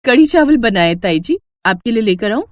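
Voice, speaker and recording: A woman voicing a gentle, caring young daughter-in-law who speaks politely and softly. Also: on the high side, expressive, normal speed, dry recording, natural voice.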